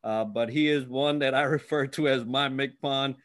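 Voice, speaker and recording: A man's voice: medium pitch, steady tone, moderate pace, fairly bright tone. A man hosting an interview podcast, speaking in a deferential, somewhat formal way to his guest. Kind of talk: interview with scripted intro.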